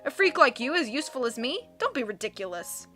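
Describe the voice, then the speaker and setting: A woman's voice: sits high, very animated, fairly quick, sharp tone, soft background music, exaggerated voice. A woman voicing a snappish, scornful male henchman in a storybook.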